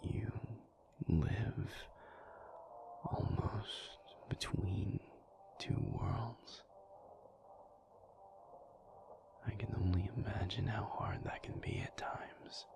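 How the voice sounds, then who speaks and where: Guy doing a tired tender alpha werewolf for audio rp, really slow n deep, breathy, kinda pensive. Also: exaggerated voice. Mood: gentle, concerned. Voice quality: breathy, half-whispered, low and gravelly. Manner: halting, pensive, confiding. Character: weary, thoughtful male alpha werewolf, tender and reflective. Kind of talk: intimate audio roleplay, soft-spoken ASMR monologue.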